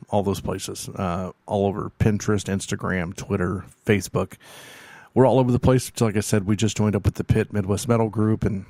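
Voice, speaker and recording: A man hosting a late-night radio show, hoarse as he loses his voice, rambling and halting with many fillers, a quiet person by nature. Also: lowish voice, no echo. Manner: self-described quiet person. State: losing his voice.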